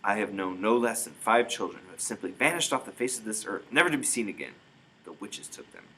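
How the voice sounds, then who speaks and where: A man's voice: fairly low pitch, animated, fairly quick, bright, forceful tone, breathy edge, full character voice, rough recording. A man voicing a very old, loving grandmother who gives solemn, emphatic warnings in a storybook. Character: very old, loving, grave Norwegian grandmother telling witch tales.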